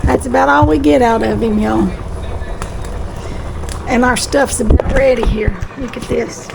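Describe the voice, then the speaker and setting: A woman's voice: fairly high pitch, steady tone, unhurried, creaky. A woman with a Southern US accent chatting in a folksy, repetitive way as she cooks for viewers. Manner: folksy, chatty, repeats herself. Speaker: home cooking vlog host. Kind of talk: casual chat while cooking. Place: home kitchen. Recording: loud kitchen background noise.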